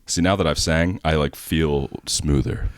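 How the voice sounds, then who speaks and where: A man with a deep baritone voice, a guest musician chatting easily on a podcast. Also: unhurried, dry recording. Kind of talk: casual chat.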